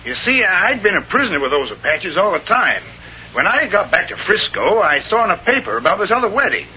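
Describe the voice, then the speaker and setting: An actor playing a plainspoken American husband in 1930s radio style. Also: male voice, high voice, very animated, brisk. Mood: matter-of-fact. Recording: muffled, noisy old 1930s radio recording.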